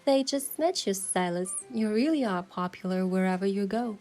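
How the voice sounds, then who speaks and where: An actress playing a playful, determined young woman who narrates her romantic game adventure in a measured, expressive way. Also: mid-range voice, soft background music, lightly acted. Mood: determined, curious. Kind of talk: first-person story narration and dialogue.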